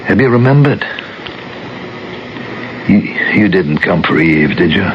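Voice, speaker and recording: Old radio drama, hissy dull recording, devoted elderly husband, deep voice, animated intonation, anxious, halting, earnest. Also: male voice. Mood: fearful, pleading. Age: older. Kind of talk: 1970s radio drama acting. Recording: muffled, noisy old radio broadcast.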